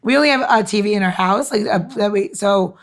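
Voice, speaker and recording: A woman co-host chatting on a podcast, telling stories in an animated way and filling her speech with "like". Her voice sits at an ordinary middle pitch. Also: fairly quick. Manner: lots of "like", animated storytelling. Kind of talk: casual podcast chat.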